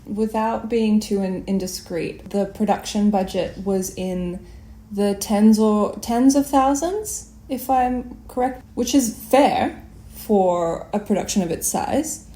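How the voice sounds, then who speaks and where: A woman's voice: medium pitch, slow, mellow. A woman hosting a conversational interview, commenting in an articulate, appreciative way. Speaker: interview host. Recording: roomy, echoing sound.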